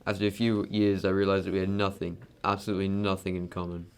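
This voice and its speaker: A man's voice: deep voice, level tone, quick pace, clean tone. A man playing a proud, defensive wealthy husband in an amateur radio drama, speaking bluntly. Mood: guarded, blunt.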